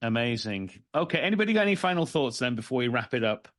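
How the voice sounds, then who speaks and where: A man's voice: medium pitch, big pitch swings, fast, fairly bright tone. A man hosting a podcast discussion in a casual, conversational style. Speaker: podcast host and session moderator. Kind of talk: casual moderated discussion on a video call.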